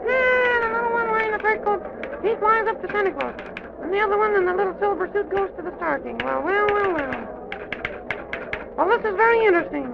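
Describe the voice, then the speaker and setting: A man voicing a small, excitable, curious childlike character in an old children's radio serial, exclaiming and repeating himself in wonder. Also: very high voice, a little fast, creaky, heavy background noise. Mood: curious, delighted. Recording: dull vintage radio recording with music.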